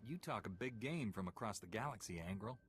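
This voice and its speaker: A man's voice: lowish voice, animated, a little fast, slight background noise, lightly acted. An actor playing a defiant male Jedi knight in a game who speaks in a firm, challenging way.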